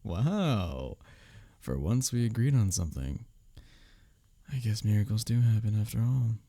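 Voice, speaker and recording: A man acting an arrogant, teasing office rival who is secretly smitten, in an intimate audio roleplay, often breathy and near a whisper. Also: fairly low pitch, big pitch swings, warm tone, dry recording, clean studio sound, exaggerated voice. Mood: wry, grudging. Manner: smirking, teasing, halting when flustered. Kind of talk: intimate ASMR audio roleplay addressed to the listener.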